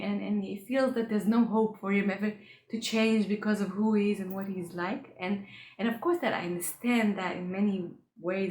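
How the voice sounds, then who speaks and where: Woman, earnest halting solo talk, restarts often, echoey room. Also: mid-range voice, a little mellow. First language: Hebrew. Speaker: coach for highly sensitive people. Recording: roomy echo.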